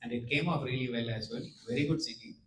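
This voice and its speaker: A man giving encouraging, halting feedback as a singing audition judge, switching between two languages. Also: medium pitch, fairly bright tone. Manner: encouraging, halting with fillers, mixes Tamil and English. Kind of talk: encouraging spoken feedback to a contestant. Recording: echoey room with background noise.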